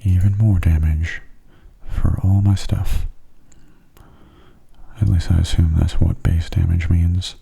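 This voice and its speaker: A man giving gentle, soft-spoken ASMR game commentary in a breathy, near-whispered voice, unhurried and close to the microphone. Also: low voice, little pitch movement. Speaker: gaming channel host.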